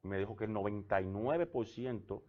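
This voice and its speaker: A man's voice: fairly low pitch, normal speed. Dominican man, guest on a tv interview, anecdotal storytelling, slightly dull lo-fi sound. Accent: Dominican Spanish. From Dominican Republic. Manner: storytelling with personal anecdotes, trailing restarts. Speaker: guest, government labor official. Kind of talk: conversational TV interview.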